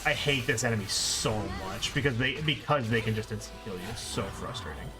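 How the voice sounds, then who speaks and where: A man's voice: medium pitch, expressive, fairly quick. A man giving live video game commentary, thinking aloud and exclaiming in frustration as he plays. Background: video game music.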